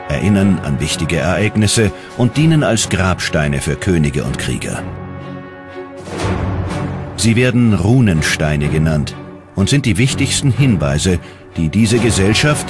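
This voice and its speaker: Deep-voiced male documentary narrator. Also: very animated, a little slow. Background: music.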